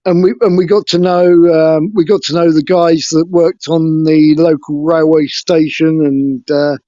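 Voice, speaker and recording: Man, higher voice, dull call audio. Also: flat delivery, unhurried, warm tone, dry recording. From England. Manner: frank, hesitant recounting of his life. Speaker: interview guest. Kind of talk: casual interview talk.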